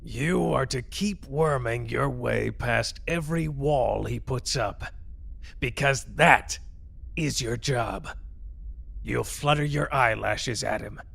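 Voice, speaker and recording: A man voicing a cold, contemptuous elder official in a roleplay audio drama, commanding and menacing, with a gravelly, raspy voice. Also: medium pitch, slow pace, fairly bright tone, heavily acted.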